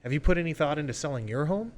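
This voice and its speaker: A man's voice: fairly high pitch, expressive, very fast. A man speaking as a real estate agent in a conversational podcast interview, informally explaining and acting out example sales calls. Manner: informal, role-plays example phone calls.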